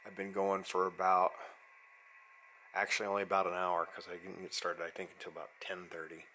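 A man's voice: low voice, fairly quick, low-quality recording. An American man in his forties narrating a casual vlog, offhand and half talking to himself. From United States. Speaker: vlogger, amateur radio hobbyist. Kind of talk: casual vlog narration while operating radio. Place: unfinished basement with metal shelving. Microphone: handheld camera mic at arm's length. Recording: steady background noise, handheld camera audio.

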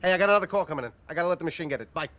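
An American actor playing an evasive, chatty sitcom bachelor talking on the phone. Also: male voice, on the high side, expressive, very fast, heavy background noise, close to an everyday voice. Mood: hurried. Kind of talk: 1990s sitcom acting.

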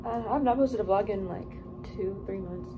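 A woman's voice: medium pitch, normal speed, over music. A young woman with an American accent chatting casually for a vlog in a hotel room. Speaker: vlogger. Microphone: camera or phone at arm's length. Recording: clearly noisy room sound.

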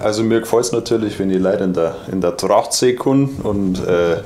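A man's voice: on the low side, normal speed, darkish tone, echoey room, slight background noise. A young man with a Bavarian accent, chatting casually. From Bavaria, Germany. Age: young adult. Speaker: newspaper reporter as guest. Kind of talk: casual chat. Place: indoor green-screen studio.

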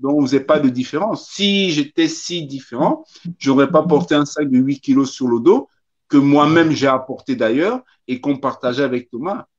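A man's voice: medium pitch, moderate pace. A man in his fifties, a former footballer, talking emphatically as a guest on a video call and repeating his points. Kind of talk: casual interview on a video call. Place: indoors, small room. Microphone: phone or webcam on a video call. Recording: slightly dull video-call audio.

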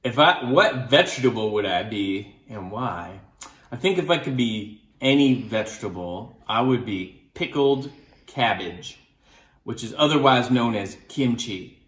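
A man in his thirties, a children's author chatting to kids in an animated storytelling style. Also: medium pitch, measured pace, roomy sound.

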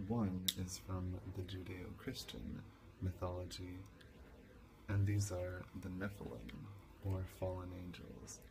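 A young man playing a calm college professor in a soft-spoken ASMR lecture roleplay, measured and didactic. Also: sits low, full character voice. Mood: calm, instructive. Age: young adult. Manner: measured, didactic, with rhetorical questions. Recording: clearly noisy, hissy home recording.

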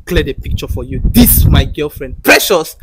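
A man's voice: a little high, lively intonation, normal speed, lightly acted. A man with a Nigerian accent playing a resentful, scornful boyfriend in an improvised drama, throwing accusing questions. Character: resentful, scornful boyfriend betraying his best friend. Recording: faint background noise.

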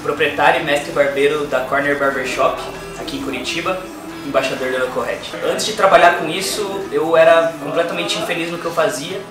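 Male, 30s, slightly high voice, earnest testimonial, music clearly behind. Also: fairly quick. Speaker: barber, barbershop owner and brand ambassador. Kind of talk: personal testimonial to camera. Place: indoors, small barbershop. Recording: roomy, distant mic, clear background music.

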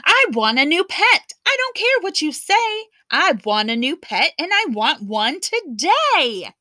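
A woman's voice: very animated, measured pace, pushed and bright, dry recording, full character voice. A woman voicing a bossy, demanding little girl in a storybook read-aloud. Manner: shrill and demanding.

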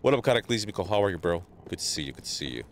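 A man streaming a game live, chatting casually with viewers and greeting them by name, sounding a little stuffy from allergies, on a boom mic. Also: racing, a little hiss or hum. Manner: greets chat viewers, relaxed banter. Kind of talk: casual live gameplay chat.

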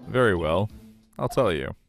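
A man voicing an earnest, determined young defense attorney in a courtroom video game, dramatic and deliberate. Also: low voice, animated, gravelly, soft background music. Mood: determined, grave. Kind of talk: voiced visual novel playthrough.

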